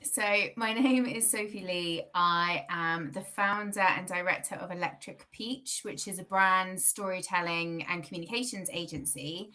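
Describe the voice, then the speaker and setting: Woman guest, bright clear voice, chatty and quick to laugh, heard over a video call. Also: fairly low pitch. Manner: lively, laughs often, self-correcting. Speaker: guest, founder of a communications agency. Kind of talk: casual podcast conversation.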